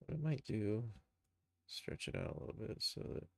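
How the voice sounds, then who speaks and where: A man with a lowish, gravelly voice hosts a casual screen-share tutorial. He thinks aloud as he works, informal and unscripted, in a very dry-sounding recording. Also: moderate pace, darkish tone, no room sound. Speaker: streamer, game developer and animator.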